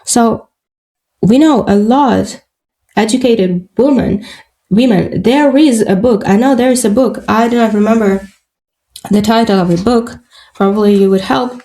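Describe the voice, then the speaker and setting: Slow, gravelly woman with Russian accent. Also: fairly high pitch, mellow, rough recording. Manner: halting, searching for English words, many fillers. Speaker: podcast guest. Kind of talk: casual interview talk.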